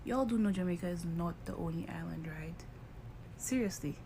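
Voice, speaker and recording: A woman airing a casual complaint in a quiet, half-whispered voice. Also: on the low side, moderate pace, a little hiss or hum.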